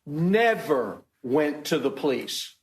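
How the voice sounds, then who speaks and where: A male prosecutor with a high voice gives a closing argument in a roomy, echoing courtroom. He speaks slowly and emphatically, hammering points home through repetition. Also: big pitch swings. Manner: emphatic, deliberate, repetitive rhetoric. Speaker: prosecutor addressing a jury. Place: indoor courtroom. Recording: echoey courtroom recording.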